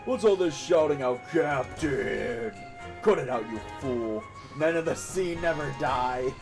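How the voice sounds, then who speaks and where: A man voicing a gruff, stubborn, teasing old sea captain from a video game, reading the lines aloud in character. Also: medium pitch, lively intonation, measured pace, over music. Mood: scolding, boisterous.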